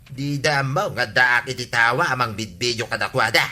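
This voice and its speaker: Man acting a piercingly forceful indignant villager. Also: mid-range voice, moderate pace. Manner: insistent, complaining. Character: indignant, righteous village tattletale determined to report. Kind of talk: Ilocano radio comedy drama acting.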